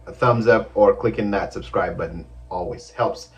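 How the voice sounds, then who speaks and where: A man with a Caribbean accent, co-hosting a casual chat, loud and teasing, laughing often. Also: on the low side, moderate pace, far from the mic, muffled recording. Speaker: co-host.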